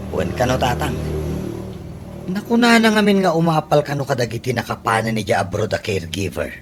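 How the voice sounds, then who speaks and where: A protective, generous big brother with a Filipino accent played by a man in a radio drama. His mid-pitched voice swings widely up and down, over clear background noise. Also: expressive, fairly quick, lightly acted. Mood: earnest, insistent. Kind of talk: Ilocano radio comedy drama acting.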